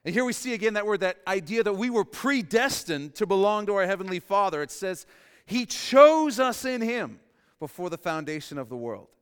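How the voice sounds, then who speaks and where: A male pastor delivers his sermon with a smooth, resonant voice, repeating key phrases and dropping quiet to drive them home. His voice sits a little higher than most men's. The sound rings with long reverb from a large hall. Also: sing-song, moderate pace. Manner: emphatic, repeats key phrases, drops to soft emphasis.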